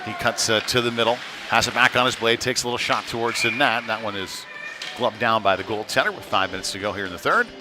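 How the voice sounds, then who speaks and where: A man doing live hockey play-by-play commentary in a halting delivery with mid-sentence pauses. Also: fairly low pitch, music in the background. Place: indoor ice rink during a game. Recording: noisy rink sound behind the voice. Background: clear venue noise.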